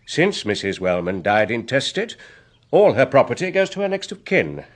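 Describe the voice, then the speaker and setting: English family lawyer for a radio play, husky, very up and down melody, formal and patient. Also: male voice, medium pitch, very animated. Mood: measured, matter-of-fact. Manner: measured, formal, explanatory. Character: courteous, unflappable English family solicitor. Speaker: actor. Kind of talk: British radio drama acting.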